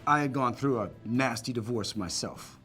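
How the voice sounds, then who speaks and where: An actor playing a jaded middle-aged male agent answering questions in a measured, sardonic way in a film. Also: medium pitch, sharp tone, faint music behind, lightly acted. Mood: wry, guarded. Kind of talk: film interview scene, naturalistic acting.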